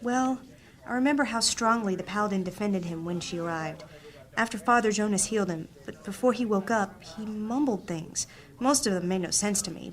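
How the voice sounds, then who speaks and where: A woman's voice: mid-range voice, natural voice. Earnest young-hearted healer played by a woman. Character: loyal, trusting woman apprentice healer. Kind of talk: fantasy audio drama acting.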